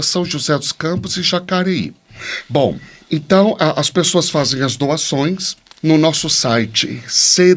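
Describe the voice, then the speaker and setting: A man's voice: a little high, a bit bright. A Brazilian man, a priest, explaining things conversationally as an interview guest. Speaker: guest priest, charity founder.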